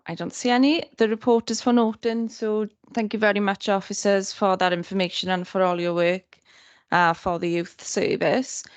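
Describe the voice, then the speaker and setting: A woman chairing a council committee meeting, brisk and formal as she moves through the agenda. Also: medium pitch, dry recording.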